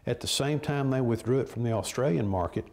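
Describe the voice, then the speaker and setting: Male attorney soundbite, mid-pitched, dark relaxed tone. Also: a little fast, vocal fry. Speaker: attorney being interviewed. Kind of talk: interview statement.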